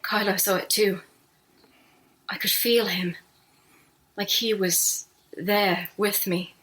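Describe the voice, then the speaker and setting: A woman's voice: medium pitch, fairly even intonation, slow pace, a bit bright, low-quality recording. A woman playing a defiant, questioning young Jedi apprentice in a dramatic audio drama. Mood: shaken, earnest. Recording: music playing behind the voice.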